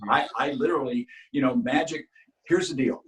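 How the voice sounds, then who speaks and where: A man's voice: medium pitch, moderate pace, a little hiss or hum, rough recording. A middle-aged man, born in Cuba with Spanish as his first language, telling stories as an actor guest in a casual interview, earnest and full of restarts. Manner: earnest, talks in long runs with many restarts.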